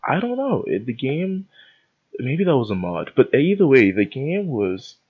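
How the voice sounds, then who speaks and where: A man in his twenties from the Bahamas, hosting a podcast and rambling in an animated, casual way with many tangents. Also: mid-range voice, measured pace, breathy edge. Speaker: podcast host. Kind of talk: casual solo podcast rant. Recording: dull lo-fi sound.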